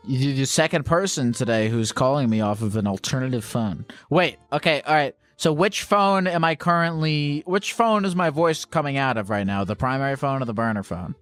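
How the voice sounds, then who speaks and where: A man hosts a call-in show, ribbing his caller with quick, profane jokes. His voice sits at an ordinary middle pitch, and faint music hums quietly behind him. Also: expressive. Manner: teasing, profane, quick interjections. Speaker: host.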